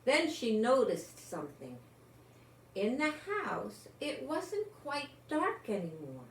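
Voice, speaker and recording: An older woman reads a children's storybook at a leisurely pace. She is expressive and speaks directly to young listeners with gentle asides. The room is echoey, with some noise behind her. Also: fairly high pitch, slow pace. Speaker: storyteller grandmother reading a picture book.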